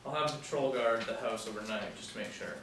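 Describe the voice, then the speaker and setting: A young man playing a businesslike FBI agent in a scripted table read, brisk and official. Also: mid-range voice, natural voice. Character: businesslike male FBI agent questioning a witness. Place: small indoor room, seated reading. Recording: very echoey room, distant mic, faint noise.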